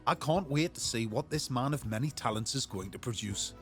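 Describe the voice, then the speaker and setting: A man's voice: medium pitch, lively intonation, fairly quick, faint music behind. A man narrating a TV travel and food show in scripted voice-over.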